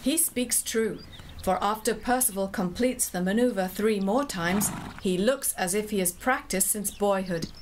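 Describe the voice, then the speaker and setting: A woman narrator telling a scripted story in a measured, poetic storytelling manner. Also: mid-range voice, noisy background.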